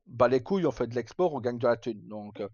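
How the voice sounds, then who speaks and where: A man's voice: medium pitch, animated, quick pace, a little mellow, dull audio. A man hosting a livestream, chatting informally and casually with some mild swearing. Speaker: livestream host. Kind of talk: casual chat.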